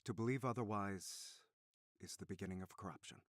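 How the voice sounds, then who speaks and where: A man playing a composed, severe lecturer in a visual novel, speaking with controlled, deliberate formal oratory. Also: on the low side, no echo, clean studio sound, close to an everyday voice. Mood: stern. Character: composed male lecturer, measured and severe about ethics.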